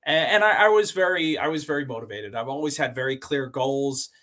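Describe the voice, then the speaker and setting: A man from Sweden speaks as a guest on a podcast, sharing stories about his life in a loose, conversational way. He backs up and repeats himself, and he fills his pauses with "uh" and "you know." His pitch sits in a typical middle range. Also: fairly bright tone. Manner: rambling, repeats and restarts words, many fillers. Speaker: podcast guest, company co-founder. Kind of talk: casual interview storytelling.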